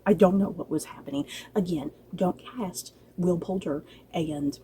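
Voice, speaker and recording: A woman's voice: lowish voice, unhurried, warm tone, slight background noise. A woman giving a casual, gushing review as a vlogger, talking directly to viewers in an informal, playfully exasperated way. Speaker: book and drama review vlogger. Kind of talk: casual review chat to camera.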